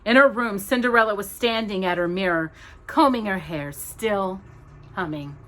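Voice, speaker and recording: A woman's voice: mid-range voice, moderate pace, fairly bright tone. A woman reading a children's story aloud with dramatic, emphatic narration. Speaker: storytime reader.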